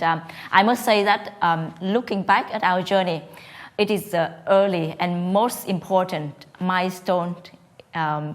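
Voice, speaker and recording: A woman from Vietnam with a Vietnamese accent, a startup co-founder speaking on a panel, hesitant with many fillers and restarts. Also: on the low side. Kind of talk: prepared panel talk.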